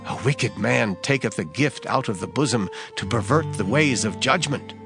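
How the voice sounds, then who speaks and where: A man narrating scripture in a dramatized King James style, with solemn, measured, emphatic delivery. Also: medium pitch, expressive, gravelly. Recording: music under the voice. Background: background music.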